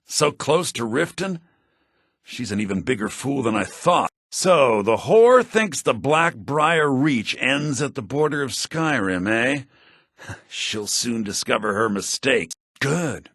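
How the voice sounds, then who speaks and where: An actor playing a sly, smug, self-serving merchant in a fantasy video game, with a theatrical, condescending delivery. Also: male voice, lively intonation, slow pace, a bit bright, slightly rough, no room sound, studio quality, exaggerated voice. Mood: scheming. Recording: low-bitrate game audio rip.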